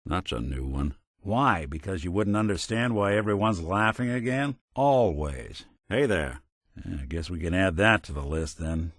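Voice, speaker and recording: A world-weary robot gumshoe for a video game, in a lowish voice recorded close and dry. His delivery swings up and down expressively. He talks in wry, old-fashioned private-eye slang, folksy and loyal. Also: animated, measured pace, fairly bright tone, dry recording, exaggerated voice. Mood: wry, reassuring. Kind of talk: video game companion voice lines.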